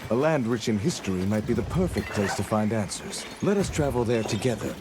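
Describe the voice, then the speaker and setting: Low-voiced, steady caravan leader. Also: male voice, noisy background. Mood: dignified, inviting. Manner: measured, formal phrasing. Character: steady, courteous male leader of a trading caravan. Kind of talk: video game cutscene acting.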